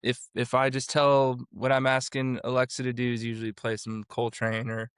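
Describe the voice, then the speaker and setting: A man's voice: mid-range voice, completely flat delivery, normal speed, a bit bright, smooth, dry recording, clean studio sound. A man in his forties from Florida, a vocal coach and producer, talking casually as an interview guest and rambling through his story with many restarts. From Florida, USA.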